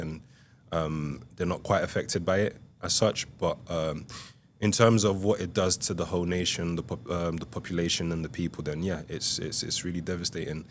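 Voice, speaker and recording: A young man from Congo, a podcast guest, telling his life story in a soft, hesitant way with many pauses. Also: sits low, a little hiss or hum. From Republic of Congo. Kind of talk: reflective interview storytelling.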